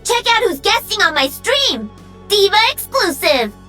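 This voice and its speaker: A woman's voice: extremely high pitch, very animated, measured pace, pushed and bright, soft background music, exaggerated voice. A woman voicing a perky, cocky young female pro-gamer mech pilot for a video game. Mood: upbeat. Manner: bubbly, cocky, teasing.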